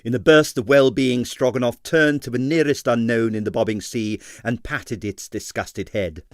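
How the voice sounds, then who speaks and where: A man reading a comic novel aloud as an expressive audiobook narrator. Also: mid-range voice, normal speed, dry recording, clean studio sound. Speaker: audiobook reader. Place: dry recording space.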